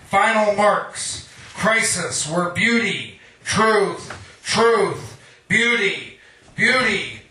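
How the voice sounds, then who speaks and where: High, bright-voiced man reading poetry, echoey room. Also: far from the mic. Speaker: poet and poetry night MC. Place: live reading venue, indoors. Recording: echoey room, picked up from afar.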